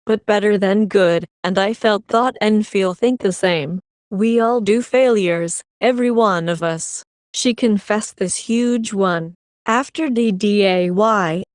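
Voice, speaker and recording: A woman reading an online relationship story aloud in the first person, at a steady, even pace. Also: mid-range voice, no echo, clean studio sound. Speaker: story reader.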